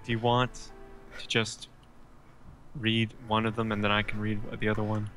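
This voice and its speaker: A man's voice: on the low side, little pitch movement, moderate pace, fairly bright tone. A man co-hosting a let's play, moving between joking, sweary banter and dramatic book reading. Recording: music behind the voice.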